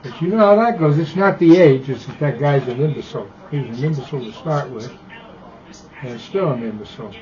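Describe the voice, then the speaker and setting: A man's voice: mid-range voice, animated, brisk, darkish tone. An American man in his eighties chatting casually as an amateur radio operator, with a blunt, opinionated, rambling manner. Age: 80s. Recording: dull lo-fi ham radio audio.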